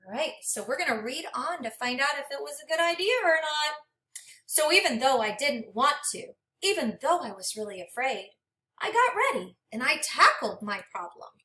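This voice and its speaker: A high-pitched, very animated woman reads a storybook to children in a dramatic, teacherly way. She moves between narrating and speaking directly to her young listeners. The sound has a clearly echoey room around it. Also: normal speed. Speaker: teacher reading a picture book aloud. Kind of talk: interactive children's read-aloud with questions to listeners. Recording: echoey room, distant microphone.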